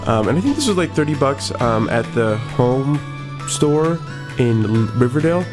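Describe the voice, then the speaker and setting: A man's voice: mid-range voice, very animated, normal speed, music in the background. Upbeat young man rambling through a vlog. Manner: rambling and upbeat, lots of fillers and restarts. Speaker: vlogger. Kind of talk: casual vlog studio tour. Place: small home office studio, indoors.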